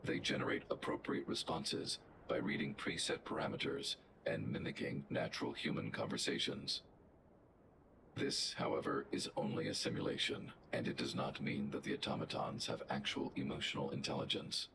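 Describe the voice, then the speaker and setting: An actor playing a huge, logical male robot guardian in a video game, with a flat, precise, mechanical delivery. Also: extremely low pitch, moderate pace, vocal fry, noisy background. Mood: calm, clinical. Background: game music.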